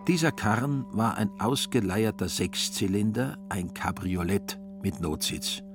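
A man narrating a literary radio play in a wry, deliberate manner with theatrical emphasis. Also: lowish voice, animated, music in the background.

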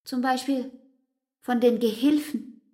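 Radio drama role: tired, reproachful young female lover, higher-pitched, husky and breathy, pleading with intensity. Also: fairly even intonation, slow, darkish tone. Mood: agitated, accusing. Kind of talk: literary radio drama acting.

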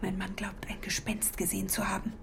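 A woman's voice: medium pitch, completely flat delivery, a little fast, vocal fry, a bit bright, slight background noise. An actress playing a worried, apologetic middle-aged German wife and mother in a naturalistic radio drama, speaking hesitantly. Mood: anxious, searching.

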